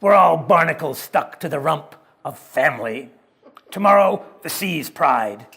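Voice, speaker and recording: A man voicing a big, grinning male sailor who talks in a rough, mocking sea-dog dialect. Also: fairly high pitch, lively intonation, measured pace, pushed and bright, full character voice.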